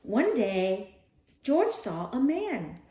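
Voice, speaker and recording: Woman, slightly high voice, slow pace, expressive picture-book reading, playful asides to a child, roomy sound. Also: a little hiss or hum. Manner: animated, dramatic, with playful asides to a young listener. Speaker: storyteller reading aloud to a child.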